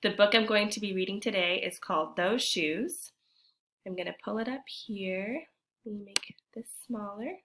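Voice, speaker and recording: Female primary-school read-aloud teacher. Bright, animated storytelling, medium pitch, speaks directly to kids. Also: a little hiss or hum. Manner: addresses children directly, deliberate and animated. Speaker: teacher reading a picture book aloud to children. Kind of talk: expressive storybook read-aloud with questions to young listeners.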